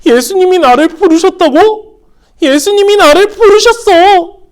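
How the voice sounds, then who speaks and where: Storybook role: woman playing a high, drawn-out pleading blind beggar man. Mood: joyful. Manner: loud, drawn-out pleading cries.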